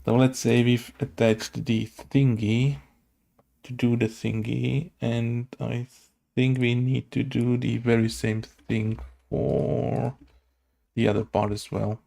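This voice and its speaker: A man talks himself through a coding screencast at an extremely slow pace. His voice is heavily creaky and on the mellow side. He sounds unsure, muttering in short, broken fragments. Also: mid-range voice, little pitch movement. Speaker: game development tutorial host. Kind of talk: casual think-aloud coding screencast.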